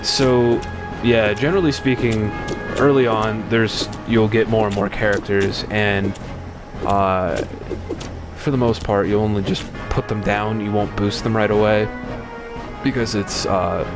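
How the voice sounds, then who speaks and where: A man with a low, deep voice talks through a game in a relaxed, rambling way, full of fillers and restarts. He stays in his own plain voice even when he reads the characters' lines aloud. Game music plays clearly underneath the whole time. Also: a little slow. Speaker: let's play host. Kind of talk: casual gameplay commentary.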